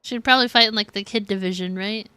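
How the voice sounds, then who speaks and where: A woman's voice: medium pitch, fast talker, pushed and bright, smooth, no room sound. A woman game streamer giving casual, giggly commentary on a livestream.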